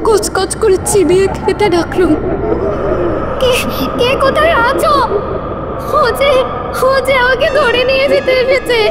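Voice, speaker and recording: A woman playing a frightened wife who narrates her own ghostly encounter in a theatrical, suspenseful horror cartoon style. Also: high-pitched. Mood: frightened, horrified. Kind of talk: dramatic first-person horror narration. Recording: long reverb, music behind.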